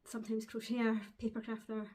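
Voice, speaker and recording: A Glaswegian woman hosting a crafting podcast from home, chatting casually to camera, hesitant with frequent ums and quick laughs. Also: on the high side, completely flat delivery, a little mellow. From Glasgow, Scotland. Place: at home, seated with a dog on her lap.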